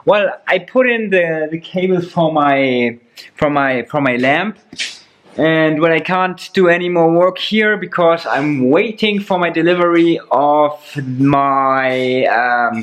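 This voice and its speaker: A hoarse man with a German accent, his voice sitting quite high for a man. He rambles through a casual DIY vlog and trails off with small hesitations. He is in his forties and talks into a camera's own mic inside a house under renovation. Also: fairly bright tone. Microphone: built-in camera mic.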